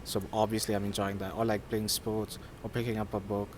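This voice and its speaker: A man in his twenties from Pakistan, a student whose first language is Sindhi, answering interview questions in a relaxed, hesitant way with many fillers. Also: on the low side, fairly quick, a little hiss or hum. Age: 20s.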